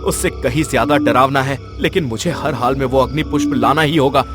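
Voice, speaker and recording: A young, brave prince, played by a man, speaks in a mid-pitched, earnest voice. His delivery is determined and dramatic, in cartoon fairy-tale style over faint music. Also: a little fast, close to an everyday voice. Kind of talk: animated fairy-tale voice acting.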